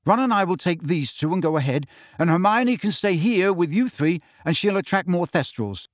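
A tense, impatient teenage boy hero in a storybook, acted by a man. He speaks curtly and with exasperation, in a voice pitched up from the reader's own. The sound is dry. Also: lively intonation, normal speed, no echo. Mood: curt, resigned. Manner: curt, exasperated. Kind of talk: audiobook character voice.

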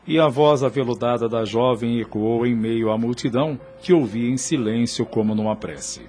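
A man narrating a radio drama in a measured, literary storytelling style. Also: mid-range voice, darkish tone.

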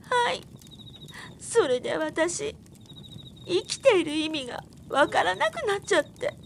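A woman's voice: extremely high pitch, big pitch swings, unhurried, creaky, a little hiss or hum, full character voice. An actress playing an earnest, heartbroken young woman in an audio drama, girlish and plaintive. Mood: distressed.

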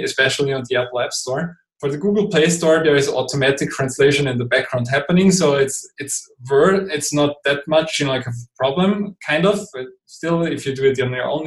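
German-accented man explaining in a roomy space. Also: medium pitch. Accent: Austrian German. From Austria. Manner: hesitant, many fillers and restarts. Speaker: guest expert. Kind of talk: podcast interview.

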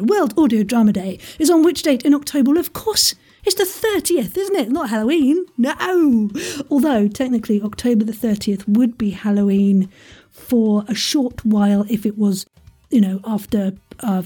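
A woman's voice: a little high, dark tone, breathy edge. A woman hosting an informal quiz podcast, chatty and jokey, rambling off into asides and interrupting herself. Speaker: podcast host and quizmaster.

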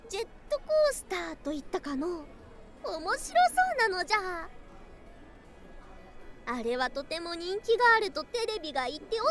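An actress playing a proud, excitable, affectionate young female lamia wife in a voice drama, with archaic, haughty speech that easily gets flustered. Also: very high voice, exaggerated voice. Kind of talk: Japanese situational ASMR voice drama.

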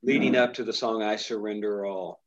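A man's voice: on the low side, fairly even intonation, brisk. Middle-aged man telling stories, lo-fi. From Indiana, USA. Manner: rambling storyteller, frequent restarts. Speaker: pastor. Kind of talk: casual interview conversation.

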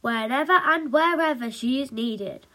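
Woman acting a loud, bombastic superhero mum. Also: high voice, measured pace. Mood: grandly proud. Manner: bombastic, grand proclamations. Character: boastful mother playing a daring costumed superhero.

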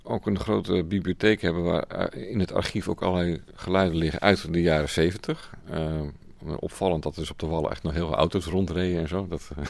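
Male interviewee, informal and hesitant, very low register, crackly fry, close dry studio sound. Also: steady tone, brisk, few pauses, a little mellow, dry recording. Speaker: radio drama sound designer. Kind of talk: casual interview answers.

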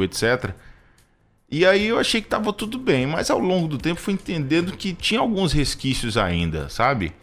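Earnest hesitant man in his thirties. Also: medium pitch. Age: 30s. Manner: hesitant, many restarts and fillers, earnest. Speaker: radio program co-host.

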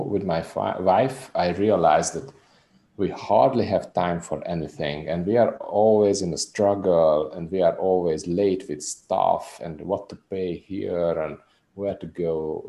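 Hungarian guy, low and kinda mellow voice, earnest rambly interview guest. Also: takes it slowly, gravelly. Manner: earnest, rambling explanations with restarts. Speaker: musician guest. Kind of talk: casual interview chat.

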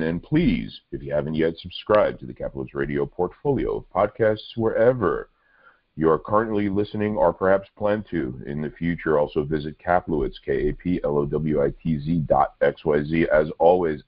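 Very deep-voiced man hosting a casual podcast. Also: lively intonation, normal speed, breathy edge, no echo, muffled recording. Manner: rambling, self-interrupting, dry humor. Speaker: podcast host.

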